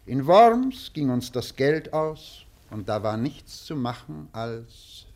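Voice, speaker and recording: An actor playing an earnest, courteous poet in a German radio play, speaking in a polite, measured, lyrical way. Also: male voice, mid-range voice, very animated, a little mellow. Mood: earnest, reminiscing. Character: earnest, courteous male poet with a vagabond past.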